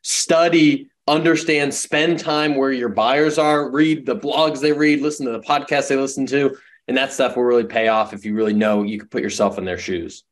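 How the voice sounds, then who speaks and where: A man's voice: mid-range voice, steady tone, moderate pace. A man giving casual sales advice as a webinar guest in a friendly, upbeat way.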